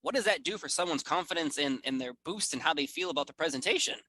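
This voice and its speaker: Upbeat male interviewer, very bright voice, dry close sound. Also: a little high, lively intonation, fast talker, dry recording. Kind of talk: interview questions.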